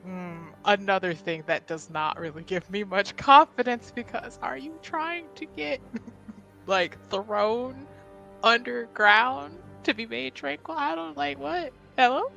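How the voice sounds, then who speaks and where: Girl doing a fed up sarcastic rpg heroine, sweary and wary, fry in the voice, sharp tone. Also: on the high side, takes it slowly, soft background music, exaggerated voice, poor audio. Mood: skeptical. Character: exasperated, wary, foul-mouthed woman avoiding troublesome companions.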